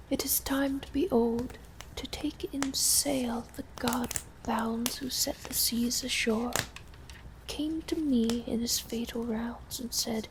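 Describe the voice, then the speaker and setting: Female performer for gracious vampire countess: hushed breathy whisper, unhurried pace, old-world formality, intimate ASMR roleplay, some background hiss. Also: a little high. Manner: formal, genteel, old-fashioned phrasing. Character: elegant, lonely vampire countess, gracious and sly hostess. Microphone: close binaural microphone. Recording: close binaural whisper with audible hiss.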